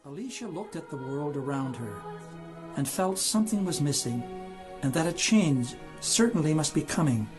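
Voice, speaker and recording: A man with a slightly high voice narrates a storybook tale for an audio play. Music plays clearly behind his scripted reading. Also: expressive, normal speed.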